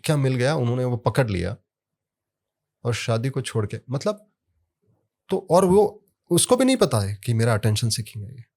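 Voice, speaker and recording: A man explaining sound therapy in a relaxed podcast conversation, telling stories from his work and leaning hard on key words. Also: mid-range voice, moderate pace, gravelly, pauses often. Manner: storytelling with anecdotes, emphatic rises on key words.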